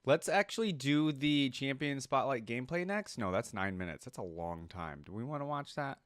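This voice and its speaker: A man's voice: medium pitch, expressive, moderate pace, a bit bright, no room sound, clean studio sound. A man giving casual reaction commentary as a video host, musing aloud and asking himself questions.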